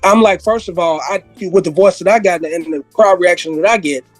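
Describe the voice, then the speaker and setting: Hoarse high-pitched guy on a podcast, rambly, repeats himself, laughs a lot. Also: fairly quick, dry recording, faint music behind. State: hoarse, losing his voice. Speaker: guest, music industry veteran. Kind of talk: casual interview conversation.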